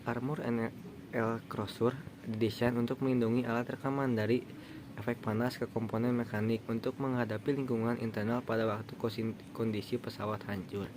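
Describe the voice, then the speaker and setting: Monotone young man presenting over noise. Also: medium pitch. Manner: halting, explanatory, colloquial asides. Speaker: student presenter. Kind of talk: informal slide presentation. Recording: clearly noisy room recording.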